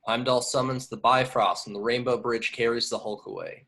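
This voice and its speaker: A man's voice: medium pitch, fairly even intonation, moderate pace, pushed and bright. A man narrating scripted action descriptions at a table read. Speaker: stage direction narrator.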